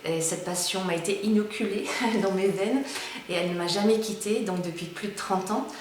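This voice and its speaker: A woman's voice: medium pitch, normal speed, a little mellow, a little hiss or hum. Woman in her fifties, very echoey. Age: 50s. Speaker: motorcyclist interviewee. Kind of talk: interview answers. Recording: very echoey, distant mic.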